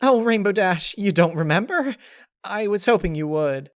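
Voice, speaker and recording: Man putting on a high, bubbly young mare. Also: expressive, normal speed, dry recording, clean studio sound. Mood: gushing, emotional. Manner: gushing, bubbly, exclamatory. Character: high-pitched excitable young female cartoon pony.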